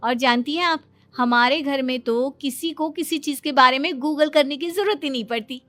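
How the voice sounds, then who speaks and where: A woman's voice: high-pitched, normal speed, pushed and bright. A woman voicing a confident, know-it-all young daughter-in-law in a story, self-assured as she explains things. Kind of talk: acted moral-story dialogue.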